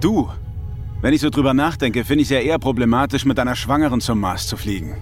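An actor playing an angry, accusing husband on a space crew in an audio drama, confrontational and sarcastic. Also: male voice, mid-range voice, fast, faint music behind, close to an everyday voice.

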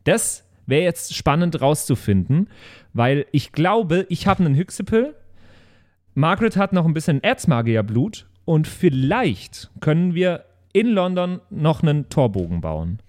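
A man's voice: a little high, very animated, unhurried, a little mellow. A man chatting casually and reflectively as he recaps a role-play game with friends, in a rambling way. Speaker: podcast player recapping his tabletop role-play character.

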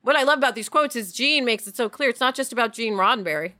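A woman's voice: on the high side, expressive, fast, pushed and bright. A middle-aged woman hosting a podcast, chatting reflectively and emphatically with her co-hosts. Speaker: podcast host. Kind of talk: casual reflective podcast chat.

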